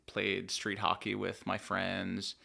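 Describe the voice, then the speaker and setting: A man's voice: deep voice, completely flat delivery, slow pace, vocal fry, pushed and bright. A young man from Pennsylvania, a musician guest in a podcast studio, talking in a laid-back, rambling way full of "like" and "dude". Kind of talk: casual interview chat.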